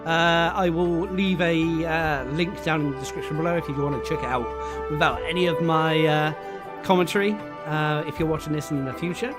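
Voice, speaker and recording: A man's voice: fairly high pitch, steady tone, moderate pace. A man streaming, losing his voice, chatting casually with lots of fillers as he reacts to a game showcase. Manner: rambling, chatty, with filler words and laughs. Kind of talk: casual live commentary. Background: showcase music playing.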